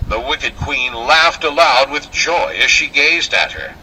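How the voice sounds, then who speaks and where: Male storybook narrator, theatrical and old-fashioned, piercingly bright forceful tone, unhurried pace. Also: medium pitch, animated, slight background noise, low-quality recording. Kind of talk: scripted fairy tale narration.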